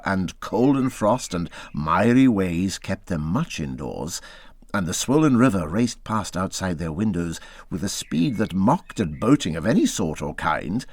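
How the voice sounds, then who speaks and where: Male audiobook narrator, lowish voice, highly animated intonation, close dry sound, expressive storybook reading. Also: no echo.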